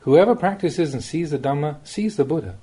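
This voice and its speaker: A man reading a Buddhist Dhamma talk aloud as a monk, in the measured, plain-spoken way of a teacher, with rhetorical emphasis. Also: fairly high pitch, lively intonation, mellow, husky.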